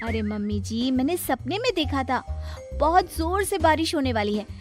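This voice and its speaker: A woman's voice: sits high, lively intonation, moderate pace, soft background music. A woman voicing a stubborn, superstitious young daughter-in-law in a cartoon story, speaking in an insistent, pleading way. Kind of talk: scripted cartoon story dialogue.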